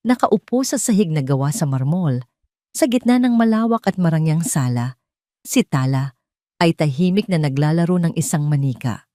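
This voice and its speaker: A woman reading a scripted dramatic story as narrator, in a measured, suspenseful way. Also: low-pitched, animated, warm tone, no echo, studio quality. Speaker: narrator of audio drama stories.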